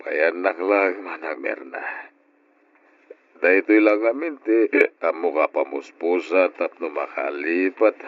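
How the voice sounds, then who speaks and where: A man playing a middle-aged, hard-drinking widowed father in an old radio drama, with a drawn-out, emotional delivery. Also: sits low, no room sound. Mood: weary, downcast. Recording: dull, lo-fi old radio recording.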